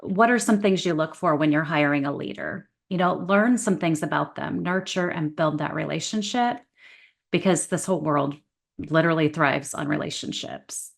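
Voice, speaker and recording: A woman's voice: low-pitched, normal speed, gravelly. A woman author and career coach talking as a guest in an interview, giving direct, motivational advice with confidence. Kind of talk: casual interview chat.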